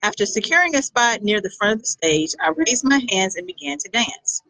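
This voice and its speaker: A woman author reading a chapter of her own romance novel aloud. Her reading is expressive, and her pitch swings widely. The sound is dry and close to the mic. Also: a little fast, no echo, poor audio.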